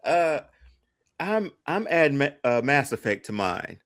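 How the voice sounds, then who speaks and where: A man's voice: fairly high pitch, big pitch swings, unhurried, crowd noise. A man speaking as a podcast panelist in casual, informal chat, often repeating phrases for emphasis. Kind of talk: casual chat, debating games and movies.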